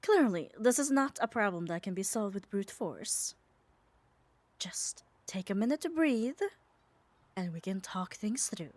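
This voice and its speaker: A woman's voice: medium pitch, animated, a little slow, darkish tone, dry recording. A woman playing a muscular, possessive yet caring yandere in an ASMR roleplay, speaking with coaxing, tender firmness. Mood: calm, coaxing. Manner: coaxing, firm, tender reassurance. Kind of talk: ASMR roleplay audio drama.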